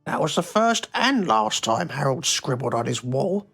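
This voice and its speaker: A woman's voice: rumbling low, big pitch swings, normal speed, slightly rough, faint music behind, exaggerated voice. An actress playing a stern, controlling mother, prim and blithely callous. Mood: prim disapproval.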